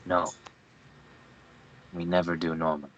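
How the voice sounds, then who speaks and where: A man's voice: sits low, little pitch movement, takes it slowly, lo-fi sound, slight background noise. A man playing an earnest, brooding and loyal local man in a screenplay table read. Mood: dry, tender.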